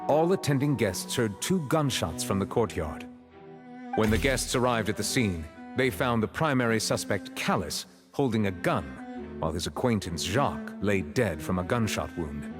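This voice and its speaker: A man acting as a stern judge in a video game, presiding with formal gravity. His voice is on the low side, and his delivery is measured and authoritative. Music plays clearly underneath. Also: expressive, natural voice. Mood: solemn, measured. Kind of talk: scripted game dialogue.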